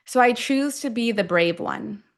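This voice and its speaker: A woman leading a guided affirmation meditation, speaking deliberately in short phrases with long pauses between them. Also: on the high side, expressive. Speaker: guide of a self-affirmation tapping session.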